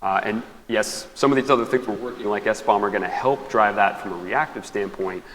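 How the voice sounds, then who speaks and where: Lowish-voiced man, reverberant hall. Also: brisk, fairly bright tone, slight background noise. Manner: thoughtful, filler-heavy phrasing. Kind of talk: panel discussion. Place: conference hall. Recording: reverberant hall with faint ambience.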